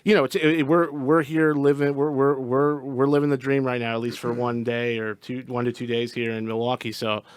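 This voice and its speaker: A man's voice: mid-range voice, level tone, normal speed, hardly any pauses, clean tone, dry recording. A man in a band, answering as a guest in an earnest, rambling way with many repeated words. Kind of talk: casual interview answer.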